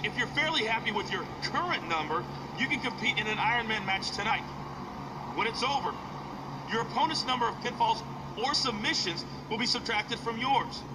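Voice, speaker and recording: An actor playing a smug, fawning sidekick to a wrestling boss in a video game, with an American accent. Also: male voice, high voice, lightly acted. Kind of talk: scripted video game cutscene acting. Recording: noisy, distant game capture.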